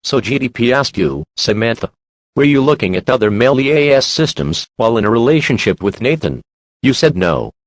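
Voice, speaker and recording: A synthetic male voice playing a talk show host in a scripted tabloid parody, with a measured presenter delivery. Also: medium pitch, big pitch swings, no echo. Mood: presenting. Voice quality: synthetic text-to-speech voice.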